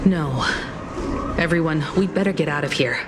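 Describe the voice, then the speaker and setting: A woman's voice: deep voice, normal speed, loud music behind the voice, natural voice. An actress playing an alert, cautious young woman adventurer in game story dialogue. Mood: wary, urgent.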